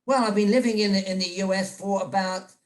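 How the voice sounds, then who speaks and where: A man's voice: high-pitched, fairly even intonation, brisk. An older man from England with a British accent, a journalist guest chatting over a video call, rambling with many restarts and repeated words. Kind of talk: casual storytelling chat.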